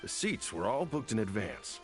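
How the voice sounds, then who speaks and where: A man voicing a brisk, formal investigator in a game, speaking in a matter-of-fact way. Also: fairly low pitch, sing-song. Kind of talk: game voice acting. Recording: game audio with music behind.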